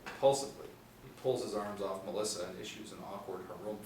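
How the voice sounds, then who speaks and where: A man's voice: deep voice, fast, a little mellow. A man reading scripted stage directions as a narrator at a table reading. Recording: very echoey room, distant mic, faint noise.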